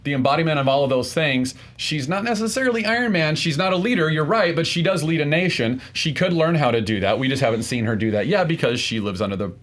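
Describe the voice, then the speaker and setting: A man's voice: a little high, quick pace, few pauses, fairly bright tone. A man making a casual argument as a debate show contestant, explaining his reasoning somewhat hesitantly.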